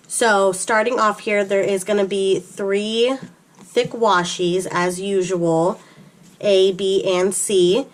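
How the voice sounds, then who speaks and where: Slow-talking woman getting over a cold. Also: mid-range voice. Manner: chatty, filler-heavy, describing items one by one. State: recovering from illness, catching her breath. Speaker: planner sticker shop owner and vlogger. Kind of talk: casual show-and-tell product walkthrough.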